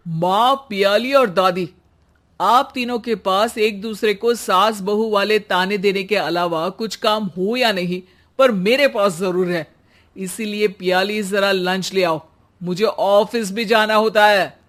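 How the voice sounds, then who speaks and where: Cartoon young husband, played by a man: harried, placating, weary, slow delivery. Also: high voice, fairly bright tone. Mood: exasperated. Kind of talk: Hindi cartoon voice acting.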